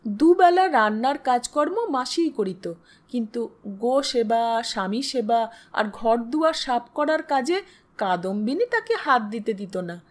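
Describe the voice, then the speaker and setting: A woman's voice: a little high, very animated, unhurried. A woman narrating a literary story expressively, as in an audiobook.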